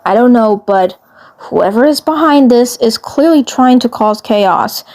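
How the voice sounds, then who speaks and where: A woman's voice: fairly high pitch, expressive, measured pace, a little mellow. Woman as worried, grave cartoon commander Peepers. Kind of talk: fan fiction cartoon voice acting.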